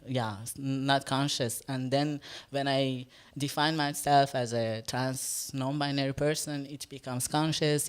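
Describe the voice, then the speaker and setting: A performance artist whose first language is Farsi, speaking English on a live panel, often pausing and searching for words. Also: mid-range voice, darkish tone, clear, resonant tone. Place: stage talk before an audience, no microphones.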